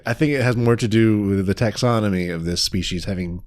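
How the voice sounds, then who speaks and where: A man co-hosting a casual podcast, self-deprecating and quick to laugh. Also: on the low side, darkish tone, no echo, clean studio sound. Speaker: co-host.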